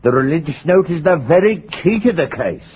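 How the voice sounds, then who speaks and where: Elderly gentleman witness, 1940s radio drama, fussy and emphatic, highish voice, noisy vintage recording. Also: very animated, a little fast, muffled recording. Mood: insistent. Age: older. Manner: theatrical, emphatic, hesitating for effect.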